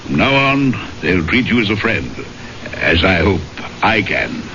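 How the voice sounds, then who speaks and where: Measured, brooding gentleman hunter, low and murky. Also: very animated, full character voice. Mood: courteous, guarded. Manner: measured, genteel, halting. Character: worldly, courteous English big-game hunter haunted by his past. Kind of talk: 1970s radio drama acting. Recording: dull, noisy old radio broadcast.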